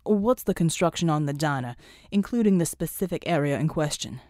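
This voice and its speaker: A woman voicing a confident, courteous male superhero team leader in an audiobook, speaking in a measured, commanding way. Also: sits low, lively intonation, a little mellow, no room sound, studio quality.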